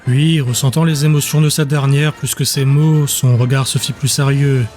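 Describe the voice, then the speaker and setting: A man narrating a dramatic audiobook in a measured, literary storytelling manner. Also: mid-range voice, fairly even intonation, darkish tone, faint music behind.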